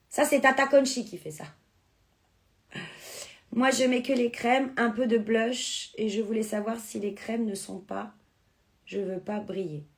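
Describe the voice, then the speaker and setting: A woman whose first language is Spanish, chatting casually on a live stream as she sells beauty products and answers viewers' comments. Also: on the high side. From born in France, Spanish family.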